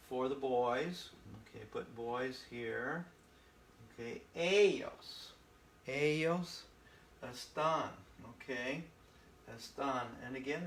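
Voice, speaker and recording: Native English-speaking man, mid pitch, patient and folksy, casual teacher of beginner Spanish. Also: fairly bright tone, slight background noise. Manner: patient, folksy, frequent "okay?" and "uh" fillers. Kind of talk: casual spoken language lesson.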